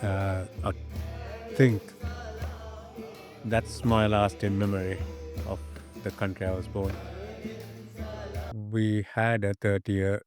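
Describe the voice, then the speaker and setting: Low, even-toned Sri Lankan man, slow and halting. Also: music in the background. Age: 50s. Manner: halting, pausing mid-phrase as he searches for words. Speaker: interviewee. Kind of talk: personal reminiscence in an interview.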